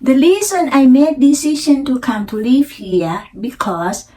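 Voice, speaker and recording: An older woman from Thailand with a Thai accent, a native Thai speaker, telling her personal story in halting, deliberate learner English. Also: sits high, mellow. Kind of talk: unscripted personal storytelling practice to camera.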